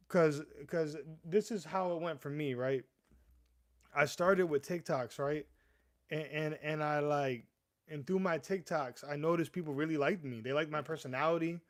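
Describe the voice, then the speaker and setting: A man's voice: a little high, moderate pace. Stammering young man chatting on a livestream. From US East Coast. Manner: rambling, stammering restarts, calls viewers "bro". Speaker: livestream host. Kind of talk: casual live chat.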